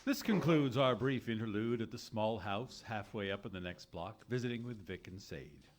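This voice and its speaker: An actor playing an upbeat vintage radio announcer delivering an emphatic, deliberate sales pitch. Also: male voice, natural voice. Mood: upbeat selling. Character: smooth-selling, upbeat old-time radio pitchman. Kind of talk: scripted vintage radio commercial.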